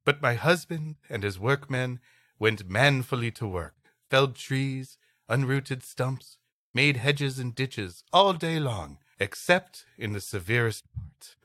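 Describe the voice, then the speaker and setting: Animated man with a bright voice. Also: medium pitch, slow pace, dry recording, studio quality. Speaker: podcast co-host. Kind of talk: reading an old adventure story aloud with comic banter.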